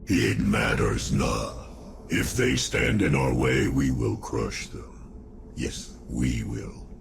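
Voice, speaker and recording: A man voicing a giant undead spider lord in a fantasy game cutscene, gloating and crooning grandly in a creaking croak. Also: animated, heavy background noise, exaggerated voice. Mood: menacing. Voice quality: deep gravelly creaking croak. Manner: slow, crooning, speaks grandly of himself.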